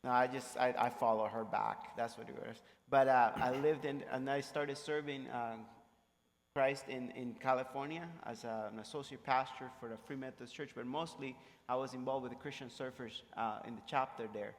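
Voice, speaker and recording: A man with a Costa Rican accent speaks in a large, ringing hall. His pitch is middling and his first language is Spanish. He tells his story conversationally, pausing and restarting as he goes. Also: steady tone. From Costa Rica. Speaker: missionary pastor, interview guest.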